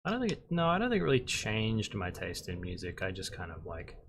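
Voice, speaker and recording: A young man, a concept artist and instructor, chatting casually and rambling in a flat monotone while he works on a livestream. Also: on the low side, fairly quick, gravelly, a little hiss or hum. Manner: monotone, rambling with many fillers.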